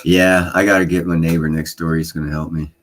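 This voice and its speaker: Low-voiced guy on a stream, easygoing, trades jokes with the host. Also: level tone, a little fast. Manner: laid-back, joking. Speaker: livestream co-host. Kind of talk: casual livestream chat.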